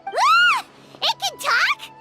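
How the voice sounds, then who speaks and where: Casting: tiny chatty game fairy, piercingly high childlike squeak, wildly swooping intonation. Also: female voice, extremely slow, exaggerated voice. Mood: shocked, indignant. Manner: perky, animated, refers to self by name. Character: tiny excitable floating fairy companion, childlike, chatty, easily startled. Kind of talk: anime game voice acting. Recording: music behind the voice.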